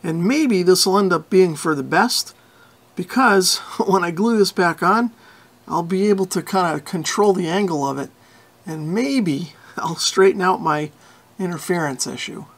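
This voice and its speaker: A man's voice: high-pitched, unhurried, fairly bright tone. A man giving an informal, hands-on repair walkthrough in a folksy, self-deprecating way. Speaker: radio repair hobbyist and video host.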